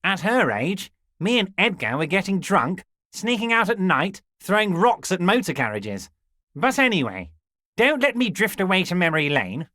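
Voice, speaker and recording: Smug, animated old union boss. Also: male voice, high voice, moderate pace, no echo, studio quality, exaggerated voice. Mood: chummy, sly. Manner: chummy, mock-innocent, sly. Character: smug, wily, folksy corrupt union boss, older man. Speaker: voice actor. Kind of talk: video game dialogue acting.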